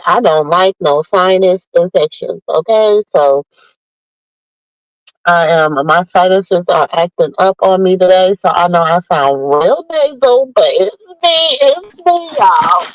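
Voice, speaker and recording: A woman's voice: lowish voice, animated, takes it slowly, no room sound, low-quality recording. Woman host with a drawl, nasal and stuffed up, chatty and familiar, dry close sound. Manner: drawling, calls listeners baby and y'all. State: nasal from a sinus infection. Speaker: podcast host. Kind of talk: casual chat to viewers.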